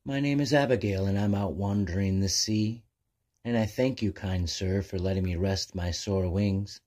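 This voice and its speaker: A man's voice: low voice, dark tone. A man voicing a sweet young female albatross, earnest and polite, in a children's storybook.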